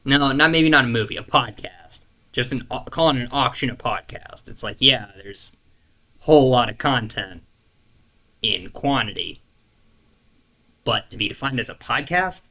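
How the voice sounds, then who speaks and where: A man rambling to the camera in a relaxed, self-deprecating way, stopping often to hunt for the right word. His voice sits at a middle pitch with a lot of creaky fry. He fills the gaps with ums, likes and a casual swear. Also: moderate pace. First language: not French. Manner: rambling, searching for words, frequent fillers and restarts. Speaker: YouTuber.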